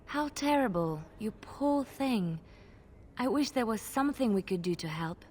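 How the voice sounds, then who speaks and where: An actress playing a kind, sympathetic woman companion in a fantasy game, gentle and compassionate. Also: on the high side, expressive, a little slow. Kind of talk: fantasy video game dialogue.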